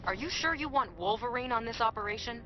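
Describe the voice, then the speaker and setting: An actress playing a crisp, efficient agent delivering scripted mission briefings in a video game. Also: female voice, a little high, lively intonation, fast, natural voice. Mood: businesslike. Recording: muffled game audio under music.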